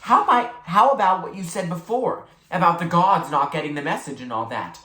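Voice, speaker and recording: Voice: mid-range voice, lively intonation, moderate pace, bright, forceful tone, echoey room, pitched above the performer's own voice. A storybook reader voicing a stubborn, blunt boy who grumbles in slang. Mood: worried. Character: stubborn, worried sporty boy, grudging and blunt.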